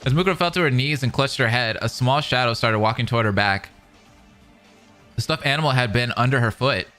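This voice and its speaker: Casting: animated male streamer, mid-pitched forceful voice, dramatic reading with excited asides, faint music. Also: a little fast. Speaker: reaction streamer. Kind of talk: reading narration aloud with excited commentary.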